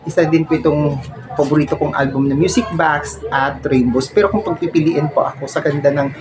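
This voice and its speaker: A middle-aged man with a Filipino accent and a fairly high voice talks informally to the camera. He speaks in a halting, polite, repetitive way. The phone recording from his home has loud noise throughout. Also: fairly even intonation. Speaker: vlogger showing a personal collection. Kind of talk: informal show-and-tell to camera. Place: small cluttered room at home.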